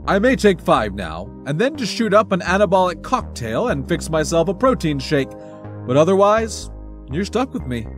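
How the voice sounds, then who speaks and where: A man's voice: high-pitched, very animated, moderate pace, soft background music, voice pushed up. A man voicing a stubborn, cocky bodybuilder intruder in an audio short story. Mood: cocky, insistent.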